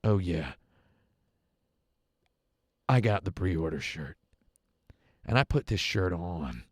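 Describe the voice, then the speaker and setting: A man hosting a casual live game stream, talking with playful, deadpan asides. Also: low-pitched, takes it slowly, slightly rough, no echo, studio quality.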